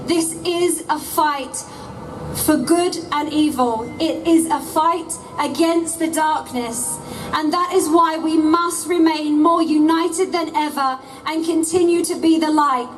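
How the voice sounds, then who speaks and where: High-voiced woman preaching at a rally. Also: slow pace, faint music behind. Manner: earnest, preacherly cadence. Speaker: pastor speaking at a rally. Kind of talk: outdoor rally speech. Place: outdoor street rally. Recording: distant mic with outdoor noise.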